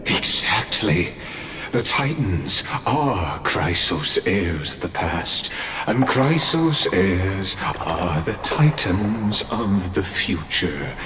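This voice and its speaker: An actor voicing an ominous, grandiose male cosmic observer in a game cutscene, speaking slowly and deliberately. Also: deep voice, big pitch swings, noisy background, exaggerated voice. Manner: slow, grandiose, deliberate delivery.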